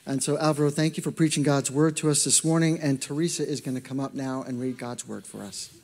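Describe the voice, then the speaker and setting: A man's voice: mid-range voice, fairly even intonation, fast talker, noisy background. A man serving as church pastor, introducing a guest preacher and asking him questions, with an affirming manner. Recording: long church-hall reverb.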